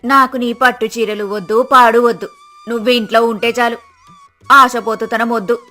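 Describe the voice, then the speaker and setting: A woman playing a sharp-tongued, worried village wife in a moral story, scolding in exasperation. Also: on the high side, steady tone, normal speed, faint music behind.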